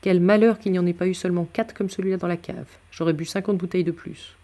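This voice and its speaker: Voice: mid-range voice, steady tone, fast talker, warm tone, lightly acted. A man reading a novel aloud as a sombre, bitter, hard-drinking nobleman musketeer, sardonic and abrupt. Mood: careless, cynical.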